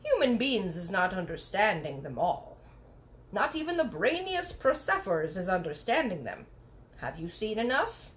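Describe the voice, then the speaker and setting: A woman voicing a gentle giant man with folksy, ungrammatical speech in a storybook read-aloud. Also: medium pitch, very animated, normal speed, slight background noise, lightly acted. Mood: musing.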